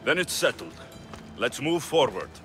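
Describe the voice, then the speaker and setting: An actor playing a weary, earnest Greek mercenary demigod in a video game cutscene, firm and measured. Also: male voice, mid-range voice, sing-song, music in the background. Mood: tired, firm.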